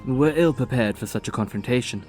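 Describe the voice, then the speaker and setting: A man's voice: medium pitch, a little fast, a little mellow, soft background music, voice pushed up, close to an everyday voice. A man playing an earnest, cautious dragon-rider lieutenant in a fantasy audiobook, serious and resolute in manner. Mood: wary, resolute.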